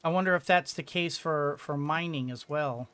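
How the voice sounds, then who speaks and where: A gamer giving casual commentary on a video game in a halting way, thinking aloud and repeating words. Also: medium pitch, a bit bright. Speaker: gamer, let's-play host. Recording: clearly noisy background.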